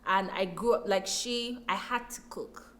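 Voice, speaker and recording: Woman, Nigerian accent, medium pitch, interview guest, reflective, expressive, repeats for emphasis. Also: unhurried.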